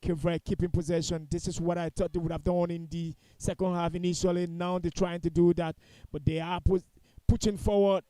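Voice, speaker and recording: High-pitched male football commentator. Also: level tone, fairly quick, dark tone, dry recording. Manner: critical, opinionated. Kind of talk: live match commentary.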